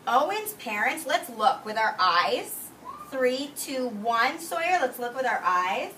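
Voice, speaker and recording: Animated high-voiced woman reading to preschoolers. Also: slow. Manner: expressive, instructive, explains words simply and directs the children. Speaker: preschool teacher. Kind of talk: animated read-aloud to a class of young children. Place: classroom with young children. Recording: roomy, distant microphone, faint noise.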